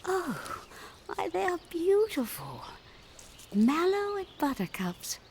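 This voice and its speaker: A woman playing a kindly, fussing village dame in an audio drama, with gentle, old-fashioned formal speech. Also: very high-pitched, huge pitch swings, takes it slowly, slight background noise, exaggerated voice. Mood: delighted.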